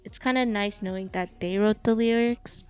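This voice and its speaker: A talkative woman with a smooth, resonant voice, rambling through a casual podcast chat. She circles her points with plenty of fillers and restarts, and faint music plays behind her. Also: mid-range voice, normal speed, darkish tone. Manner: chatty, rambling with many fillers.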